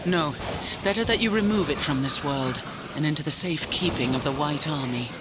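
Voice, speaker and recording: A woman's voice: low voice, moderate pace, noisy background, natural voice. A woman playing a battle-weary, proud angel commander in a video game, speaking with solemn, formal resolve. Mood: proud, bitter. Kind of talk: video game cinematic acting.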